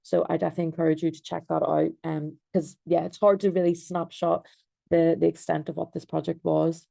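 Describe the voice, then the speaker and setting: Young Irish woman presenting on a video call; gravelly, mellow, hesitant delivery with lots of fillers. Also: low voice, level tone, a little fast, no room sound. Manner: hesitant, many fillers and restarts. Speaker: designer and researcher.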